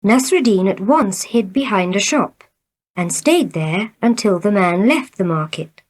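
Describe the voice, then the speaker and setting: A woman's voice: mid-range voice, expressive, a little slow. A woman reading short elementary stories aloud in a scripted narration for learners. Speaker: story reader.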